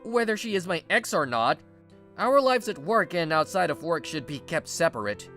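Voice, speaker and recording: An exasperated, guarded young man at the office acted by a woman in a manga video dub. The voice sits a little low for a woman and swings widely in pitch as he defends himself. Music plays underneath. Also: sing-song, normal speed, fairly bright tone. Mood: guarded, resigned. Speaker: narrator and lead of a manga dub. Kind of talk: dramatized first-person story narration and dialogue.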